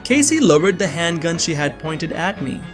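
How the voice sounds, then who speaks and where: A man's voice: fairly high pitch, very animated, normal speed, soft background music. A young man playing a bewildered innocent framed as an assassin, narrating his own story in a scripted drama. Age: young adult. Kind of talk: first-person scripted narration with dialogue.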